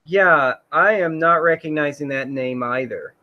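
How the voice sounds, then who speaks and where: A man's voice: fairly high pitch, a little slow, lo-fi sound. A man hosting a casual livestream, rambling with many fillers while he reads out chat comments. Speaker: host.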